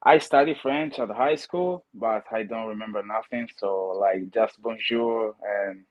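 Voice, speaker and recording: A man's voice: lowish voice, a little slow, dull audio. A young man with a heavy Italian accent, a guest answering earnestly and often checking in with "okay?". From Italy. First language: Ghanaian language. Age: young adult. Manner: earnest, often tags lines with "okay?" and restarts words. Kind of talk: casual interview answers.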